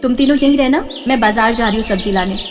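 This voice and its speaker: A woman doing a gentle, loving mother. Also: a little high, quick pace, recorded from a distance, background noise, close to an everyday voice. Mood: tender. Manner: tender, gentle. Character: loving poor mother, a tailor. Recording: reverberant with soft background music.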